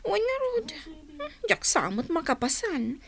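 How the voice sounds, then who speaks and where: Very high-pitched whiny teenage girl. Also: very animated, a little slow, gravelly, heavily acted. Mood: sluggish, whiny. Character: sleepy, sullen teenage daughter, whiny and lazy. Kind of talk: Ilocano radio drama acting.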